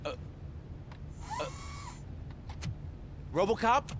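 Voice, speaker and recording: Man playing an anxious, lively-voiced rookie. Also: medium pitch, moderate pace, slight background noise, natural voice. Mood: flustered, worried. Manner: hesitant, flustered, deferential. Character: eager, anxious male rookie official, timid and flustered. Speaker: video game voice actor. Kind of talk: scripted game cutscene acting.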